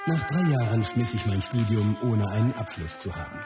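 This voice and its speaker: Actor as a blunt martial arts master over music. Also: male voice, fairly low pitch, lively intonation, normal speed, natural voice. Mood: matter-of-fact. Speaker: actor in a radio play. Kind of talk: scripted first-person narration in a radio play. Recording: loud music under the voice.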